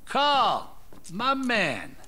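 Sharp, high-pitched mafia boss. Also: male voice, huge pitch swings, very slow, slight background noise, heavily acted. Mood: pleased. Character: aging male mafia boss, cocky and menacing. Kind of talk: video game cutscene acting.

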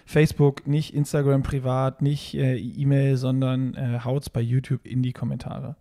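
A man's voice: medium pitch, flat delivery, normal speed, gravelly, dark tone. A man co-hosting a casual podcast, teasing his partner and cutting in with hesitant restarts. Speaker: podcast co-host.

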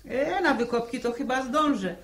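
A woman's voice: high-pitched, expressive, normal speed. An actress playing a fretful, pious farm mother with speech in a 1960s radio drama. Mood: worried.

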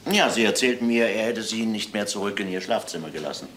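An actor playing a courteous, matter-of-fact police inspector in a crime drama, asking probing questions in a businesslike way. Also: male voice, on the low side, quick pace, a bit bright, lightly acted. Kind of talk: German crime drama acting. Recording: echoey room, clearly noisy old soundtrack.

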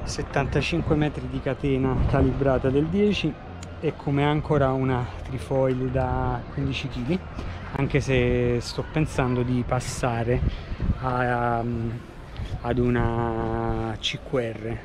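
A man's voice: medium pitch, level tone, slow pace, noisy background. A middle-aged man giving a casual tour of his sailboat, hesitant, with many fillers and restarts, filmed outdoors in a harbor on a handheld camera. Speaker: sailor giving a boat tour. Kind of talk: casual walkthrough vlog. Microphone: handheld action camera at arm's length.

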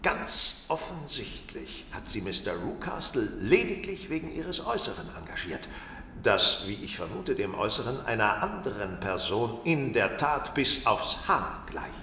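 Radio play, roomy sound: self-assured master detective, formal and precise, with animated intonation. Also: male voice, fairly low pitch, heavily acted. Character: keen, self-assured male detective explaining a case. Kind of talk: German radio play acting. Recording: roomy, distant, echoing, faint noise.

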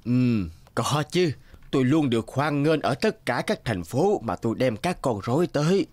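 A man voicing a kindly, wistful old puppeteer in a children's cartoon, measured and courteous. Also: medium pitch, lively intonation, darkish tone, no room sound, lightly acted.

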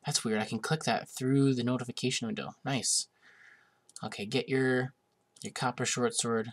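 A man's voice: medium pitch, fairly even intonation, moderate pace. A young man hosting a gaming video, getting over a cold and a bit sniffly, chatting casually with lots of hesitations while he plays. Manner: chatty, hesitant with many uhs, amused. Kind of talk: casual let's-play commentary.

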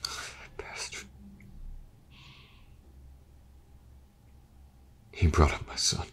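An actor playing a brooding, vengeful detective in an intimate audio roleplay, muttering haltingly, often near a whisper. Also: male voice, rumbling low, a bit bright, a little hiss or hum. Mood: bitter anger. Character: brooding, vengeful, grief-stricken male detective.

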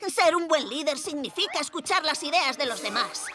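High-voiced, eager boy cat superhero. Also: expressive, moderate pace. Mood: earnest, frustrated. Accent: Castilian Spanish. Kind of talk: animated children's series dubbing. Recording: loud music under the voice.

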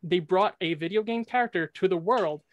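A man's voice: sits high, brisk, dull audio. A young man on a video call with a headset, making brash, trash-talking debate points. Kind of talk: competitive debate argument.

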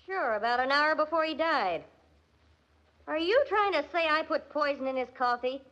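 A woman's voice: sits high, animated, normal speed, a bit bright. An actress playing a feisty, indignant young woman in emphatic 1940s radio style. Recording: muffled 1940s radio recording.

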